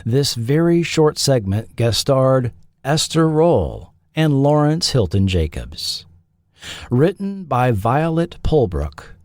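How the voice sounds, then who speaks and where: A man narrates scripted television history as a host. His delivery is slow and deliberate, with pauses between phrases and a lively, moving pitch. The recording is very dry and close. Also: no echo.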